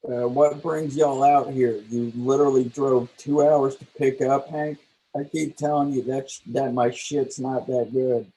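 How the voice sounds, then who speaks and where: A man's voice: mid-range voice, level tone, measured pace, warm tone, husky, poor audio. A man playing a laid-back, slangy young redneck drug dealer in a live table read, blunt and unimpressed. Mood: casual.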